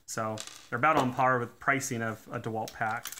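Bright-voiced man explaining a teardown. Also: mid-range voice, moderate pace, a little hiss or hum. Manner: relaxed, many "uh" fillers, technical detail. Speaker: DIY tool reviewer. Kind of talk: casual hands-on teardown talk. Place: indoors at a workbench.

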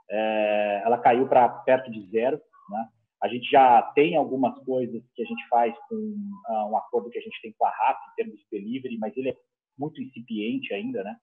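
A middle-aged Brazilian man answering conversationally as a panel guest, halting and repeating words, on a headset video call. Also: medium pitch. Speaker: business executive, panel guest. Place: indoor room. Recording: tinny, narrow video-call line.

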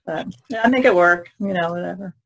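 A woman's voice: fairly low pitch, a little fast, poor audio. A woman artist in a casual podcast chat over a video call, easygoing and hesitant with ums. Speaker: artist guest.

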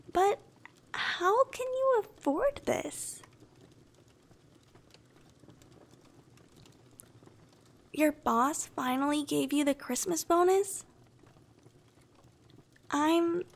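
A woman playing a shy, affectionate young anime-style girlfriend in a soft, often whispered, intimate ASMR roleplay. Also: high voice, heavily acted. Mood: touched, tender. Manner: slow, gentle, intimate, coy.

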